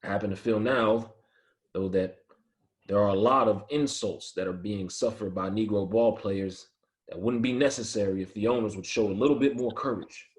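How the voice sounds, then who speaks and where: A man acts a proud, firm male ballplayer who stands his ground. His fairly deep voice is measured and earnest. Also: close to an everyday voice. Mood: firm, defiant. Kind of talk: dramatic table read.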